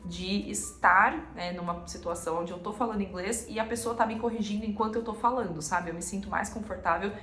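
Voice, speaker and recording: A woman's voice: medium pitch, brisk, few pauses, fairly bright tone, roomy sound, faint music behind. A Brazilian woman with a Brazilian Portuguese accent, chatting casually to camera as a vlogger and dropping frequent filler tags.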